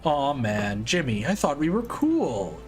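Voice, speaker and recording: A man's voice: mid-range voice, sing-song, measured pace, a little mellow, faint music behind. A man voicing a smug, manipulative male villain who taunts with theatrical mockery. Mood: taunting. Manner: mocking and theatrical.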